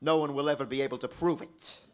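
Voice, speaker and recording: A man's voice: mid-range voice, fairly even intonation, fast talker, close to an everyday voice. A man acting a desperate, jealous murderer in an old-time radio melodrama, overwrought and defiant. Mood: bitter, defiant.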